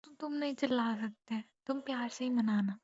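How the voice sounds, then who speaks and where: A woman's voice: fairly high pitch, moderate pace, darkish tone, husky, dry recording. A young woman chatting casually and intimately with her partner on a phone call, in a questioning, teasing way. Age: young adult. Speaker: girlfriend. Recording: muffled lo-fi phone call recording.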